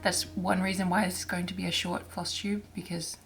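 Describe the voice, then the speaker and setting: A woman hosting her own crafting vlog in casual chat, her voice hoarse and raspy from throat irritation, talking softly and haltingly with frequent restarts. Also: fairly low pitch, flat delivery. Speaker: cross-stitch vlogger.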